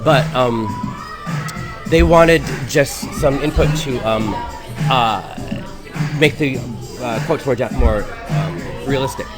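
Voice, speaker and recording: A man co-hosting a casual talk show, reminiscing in a halting way with repeated phrases and restarts. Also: mid-range voice, over loud music, over a noisy crowd. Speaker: co-host of a web talk show.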